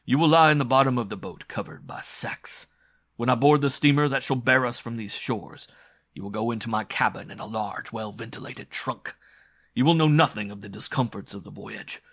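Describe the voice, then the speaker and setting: A man's voice: mid-range voice, moderate pace, a bit bright, husky, dry recording, clean studio sound. Man acting a throaty, gloating brutal villain. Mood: menacing. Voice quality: throaty, guttural. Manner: boastful, menacing. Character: brutal, gloating male villain, cruel and scheming.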